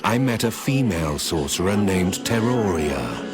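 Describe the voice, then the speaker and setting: A grim, very low-voiced narrator of a dark fantasy tale, telling the story in a measured, somber tone. Loud game music swells beneath his voice. The voice is deep and heavy. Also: lively intonation.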